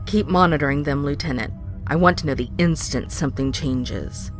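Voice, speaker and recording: A woman's voice: deep voice, normal speed, soft background music, lightly acted. A woman playing a suspicious, blunt starship first officer in a science-fiction audio drama, urgent and wary.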